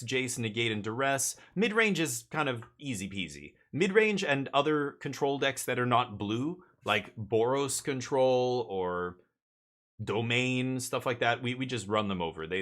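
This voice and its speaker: A man from Canada talks loosely through a live gaming stream, blunt and opinionated. He swears freely and trips over repeated words when he gets worked up. His voice is bright and forward, sitting at an ordinary male pitch. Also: lively intonation, moderate pace. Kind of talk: casual live-stream chat while gaming.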